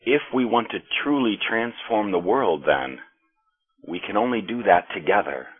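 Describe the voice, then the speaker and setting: An American man preaches a sermon, his low voice a little husky. He speaks slowly and deliberately, pausing between phrases. The sound is thin and tinny, like a phone line. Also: expressive. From United States. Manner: slow, measured preaching with pauses.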